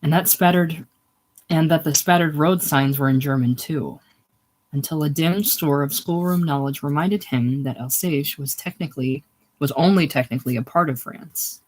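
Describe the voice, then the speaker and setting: A man's voice: fairly high pitch, normal speed. Man reading a war novel aloud. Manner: stumbles and rereads phrases. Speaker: audiobook reader. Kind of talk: narrated reading of literary war fiction.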